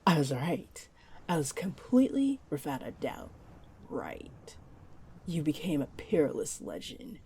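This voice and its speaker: A woman voicing a flirty, possessive mermaid in an intimate roleplay audio drama, coaxing in breathy half-whispers. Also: deep voice, sing-song, measured pace, warm tone, a little hiss or hum, heavily acted. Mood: adoring. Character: flirty, possessive, greedy female mermaid luring a pirate. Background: wave ambience.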